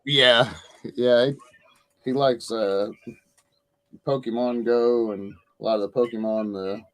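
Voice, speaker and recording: A man's voice: mid-range voice, steady tone, takes it slowly, fairly bright tone, rough recording. An older man hosting a live stream in casual chat, rambling and hesitant, full of fillers. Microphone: video call.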